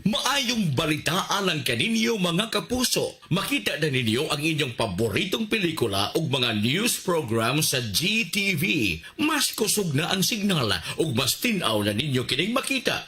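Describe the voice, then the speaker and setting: A man makes a scripted radio promotion. His voice is bright and forceful, with lively intonation, over faint music. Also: a little high, normal speed, hardly any pauses. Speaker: radio station announcer.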